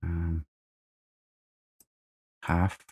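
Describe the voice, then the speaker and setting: A man's voice: sits low, monotone, drawn-out words, a little mellow, husky, dry recording. A man giving casual let's play commentary, muttering and thinking aloud as he goes. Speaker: gamer.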